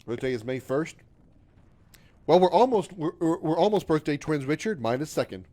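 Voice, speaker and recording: A man's voice: on the high side, expressive, normal speed, mellow. A man in his thirties chatting casually with viewers on a game livestream. He rambles, repeats himself, stumbles over his words and runs himself down in a glum way. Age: 30s. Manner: glum and self-deprecating. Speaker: game streamer.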